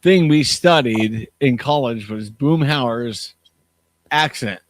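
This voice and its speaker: A man's voice: mid-range voice, slow, dry recording. A man with a Midwestern accent co-hosting a casual beer chat, telling stories and laughing easily. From Midwestern United States. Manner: chatty storyteller, laughs often. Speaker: beer show co-host.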